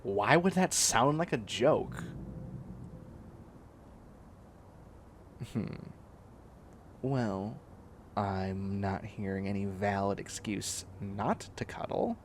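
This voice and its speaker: A man's voice: fairly low pitch, slow, a little hiss or hum. A man playing a bossy, clingy young catboy in a comedic roleplay audio drama, whining and demanding in a needy way.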